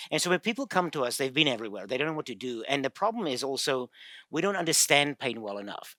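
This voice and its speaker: A man's voice: mid-range voice, sharp tone, no echo. A man from South Africa with a South African accent, a guest pain expert explaining in casual chat, telling stories and quoting patients. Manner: storytelling, laughs easily, quotes patients.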